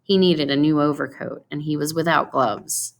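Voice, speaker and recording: Low-pitched, creaky-voiced woman narrating. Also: fairly even intonation, normal speed. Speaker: story reader. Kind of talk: expressive scripted storybook narration.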